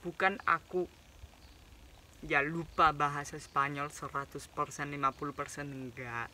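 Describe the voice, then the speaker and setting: A woman's voice: deep voice, fairly even intonation, unhurried, sharp tone, a little hiss or hum. A young woman vlogger in casual talk to camera, chatty and laughing often, slipping in English words.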